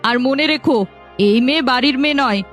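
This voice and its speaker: A woman playing a sharp-tongued mother who dotes on her spoiled daughter, in a melodramatic cartoon fairy-tale style. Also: high voice, fast. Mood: annoyed, harsh. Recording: faint background music.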